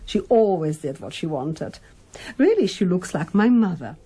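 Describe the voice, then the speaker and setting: Middle-aged mum in a radio play, husky, proud and defensive, really swoopy delivery. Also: female voice, on the low side, very animated, normal speed, mellow. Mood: doting. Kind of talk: radio drama acting.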